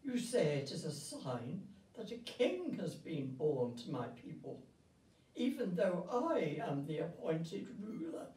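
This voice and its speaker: A scheming, suspicious storybook king, as an older woman reads him, gives orders at a deliberate pace. The voice is mellow and commanding, picked up from a distance in a room. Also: fairly low pitch, big pitch swings. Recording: roomy, distant microphone.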